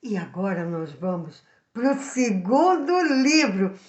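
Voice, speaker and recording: A Brazilian woman telling a story to children in a simple, expressive way, addressing her viewers directly. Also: high-pitched. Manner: simple, expressive, repeats subjects for emphasis. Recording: echoey room.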